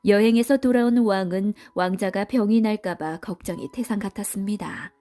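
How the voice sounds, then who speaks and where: A woman's voice: medium pitch, normal speed, warm tone, faint music behind. A woman storyteller giving scripted storybook narration for children.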